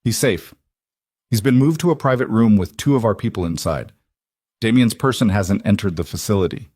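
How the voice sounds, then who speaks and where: A controlled male mafia boss from an audio romance, voiced by a man. He is grave and quietly intense, speaking tersely in a fairly low voice. The sound is close and dry. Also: lively intonation, moderate pace, dry recording. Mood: grave, urgent. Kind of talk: audiobook character dialogue.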